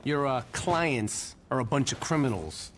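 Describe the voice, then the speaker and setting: An actor playing a gruff police chief in a video game, curt and exasperated. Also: male voice, mid-range voice, lively intonation, measured pace, slight background noise, close to an everyday voice. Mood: irritated. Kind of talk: video game cutscene acting.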